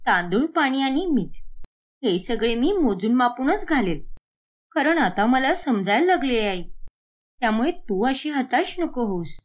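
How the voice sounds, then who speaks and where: A woman playing a cheeky, whiny young adult daughter in an animated moral story, complaining and protesting. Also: high voice, measured pace, exaggerated voice. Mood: placating.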